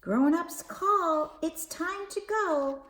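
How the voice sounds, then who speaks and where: A woman hosting a children's storytime, reading aloud and leading counting rhymes in a slow, expressive, sing-song way. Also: very high-pitched. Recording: echoey room with faint background hiss.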